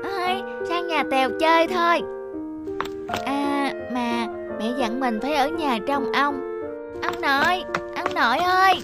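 An actor voicing a cheerful, affectionate little child in an animated film, with music behind. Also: sits high, a little slow.

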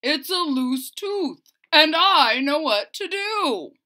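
A storybook father put on by a woman blusters with confidence. The words are drawn out and forceful, with lively swings in pitch. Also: heavily acted. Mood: confident. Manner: emphatic, drawn-out. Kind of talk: children's storybook character voice.

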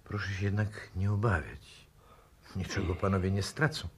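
An actor playing a grim, fatalistic professor in a 1960s radio drama, deliberate and ominous, moving between hushed and forceful delivery. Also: male voice, low voice, fairly even intonation, natural voice. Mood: calm, assured. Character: grim, fatalistic male professor calmly awaiting a deadly explosion. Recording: noisy old radio drama recording.

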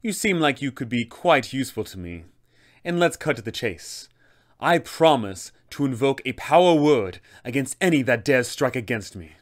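A man voicing a haughty, menacing female undead elf sorceress, with a slow, taunting, imperious delivery. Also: medium pitch, animated, close to an everyday voice. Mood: cool threat.

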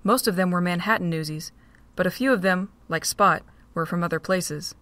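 A woman narrating a fan-made audio drama in a steady, even reading voice. Her voice sits a little low and is recorded dry and close. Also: normal speed, no room sound. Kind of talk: storybook narration.

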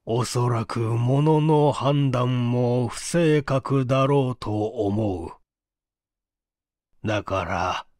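A man voicing a sickly, suspicious rich old man with a gravelly, slurred voice and a halting, nagging manner. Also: mid-range voice, darkish tone, dry recording, studio quality. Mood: suspicious, laboring. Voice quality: gravelly, slurred, thick-tongued. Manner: halting, labored, clinging.

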